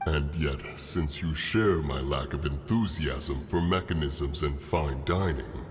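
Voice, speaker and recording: An actor playing a stately, dry-witted immortal sage in a video game, speaking formally. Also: male voice, very deep voice, takes it slowly. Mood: dry, teasing. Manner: formal, dryly teasing. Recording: long hall reverb. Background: music.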